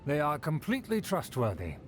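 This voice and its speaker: An actor playing a haughty aristocrat in a video game, speaking in a pompous, formal, imperious manner. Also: male voice, fairly high pitch, wildly swooping pitch, a little fast, soft background music. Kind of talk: scripted video game dialogue.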